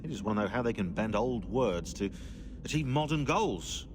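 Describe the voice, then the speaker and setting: An actor playing a sardonic, opinionated historian in a video game, speaking in a dry, indignant, emphatic way. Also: male voice, lowish voice, expressive, moderate pace, close to an everyday voice. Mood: scornful, indignant. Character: sardonic, opinionated historian ally, scornful of politicians. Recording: music behind the voice.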